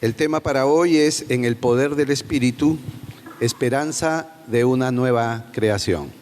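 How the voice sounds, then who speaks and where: A man moderates a church conference session, briefly announcing the theme and the order of speakers. His middle-pitched voice has a long hall reverb and faint background noise. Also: moderate pace, a little mellow. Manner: brief and procedural.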